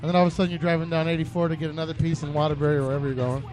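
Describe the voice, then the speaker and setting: A man's voice: a little high, level tone, over music, poor audio. A man hosting a casual online talk radio show, telling rambling, crude stories. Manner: rapid, rambling storytelling with crude jokes. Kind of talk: casual chat.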